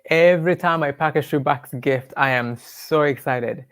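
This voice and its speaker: A man's voice: fairly high pitch, moderate pace, fairly bright tone, clean tone, no echo, clean studio sound. A man with a Rwandan accent shares his personal testimony as an interview guest, speaking in an earnest, devout storytelling way.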